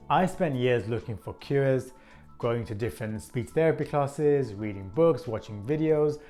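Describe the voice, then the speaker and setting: A man in his thirties speaks at a middle pitch, earnest and even, as he hosts his own channel. Now and then a stutter slows a word before he moves on. Soft music plays faintly under his voice. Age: 30s. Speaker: channel host. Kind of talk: scripted vlog talk to camera.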